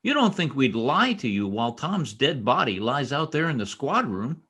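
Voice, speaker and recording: An actor playing an earnest, insistent young police trooper in an old-time radio drama, speaking with urgent emphasis. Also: male voice, mid-range voice, very animated, normal speed, pushed and bright, slightly rough, heavily acted.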